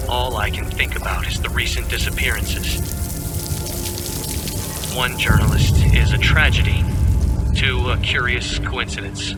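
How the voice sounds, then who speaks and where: A man's voice: mid-range voice, lightly acted. Actor as troubled male journalist, distant over music. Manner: measured, reflective, earnest. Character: troubled, principled male investigative journalist, conflicted family man. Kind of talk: game cutscene acting, brooding recorded monologue.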